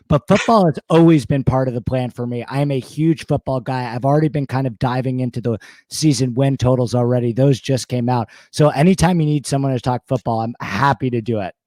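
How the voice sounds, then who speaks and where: A man with a slightly hoarse voice, eagerly talking stats and picks as a guest in a casual interview. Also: medium pitch, mellow, no echo. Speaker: guest sports betting analyst.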